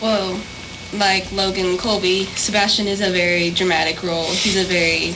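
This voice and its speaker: A woman's voice: on the low side, flat delivery, moderate pace, noisy background. A teenage girl talking eagerly about her stage role. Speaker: young actor guest.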